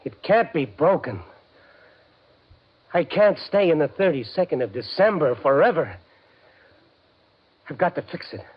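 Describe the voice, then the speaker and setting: Desperate gambler, wildly swinging voice. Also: male voice, on the high side, lively intonation, breathy edge. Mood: despairing. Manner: agitated, halting, emotionally intense. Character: desperate, impulsive gambler, an everyman fiancé. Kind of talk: 1950s radio suspense acting. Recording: muffled, hissy 1950s radio recording.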